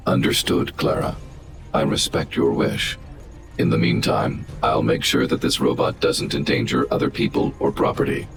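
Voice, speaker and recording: An extremely low, gravelly robot guardian, coldly logical and formal. The delivery is measured and analytical, a protective video game machine with clear music playing behind it. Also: male voice, fairly even intonation, full character voice. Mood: calm, clinical.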